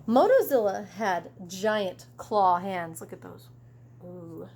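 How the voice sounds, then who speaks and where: A woman reading a children's story aloud expressively, in an animated way, pausing for asides to young listeners. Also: mid-range voice, slightly rough. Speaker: teacher reading a picture book aloud.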